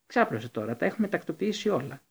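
A man's voice: fairly high pitch, very animated, vocal fry, breathy edge, voice pushed up, full character voice. A man voicing a pompous, patronising male government minister who brushes off the children. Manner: condescending, placating.

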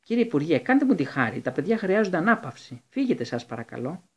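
A man voicing a stern, bossy older woman nurse who insists on quiet for her patients. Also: fairly high pitch, sing-song, husky, dull audio, pitched above the performer's own voice, full character voice. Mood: scolding. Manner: scolding, insistent.

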